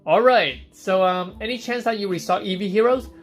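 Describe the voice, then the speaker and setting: A man's voice: high voice, brisk, faint music behind. A man from Hong Kong hosting a casual live stream, reading out viewer questions and answering them on the spot.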